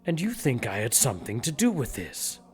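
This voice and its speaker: A sly scheming monk, smooth, condescending, quietly menacing. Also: male voice, mid-range voice, wildly swooping pitch, normal speed, exaggerated voice.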